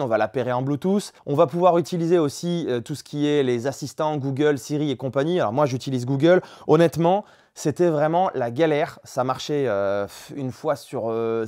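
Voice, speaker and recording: Chatty Frenchman with a slightly high voice. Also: darkish tone. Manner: colloquial, chatty, frank. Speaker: motorcycle gear reviewer. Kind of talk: casual product review to camera.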